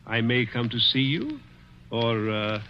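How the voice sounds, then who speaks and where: A man's voice: medium pitch, big pitch swings, measured pace, slight background noise. An actor playing a cruel, dying rich man who taunts with sly chuckles in a 1940s radio drama. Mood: menacing. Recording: dull old radio broadcast.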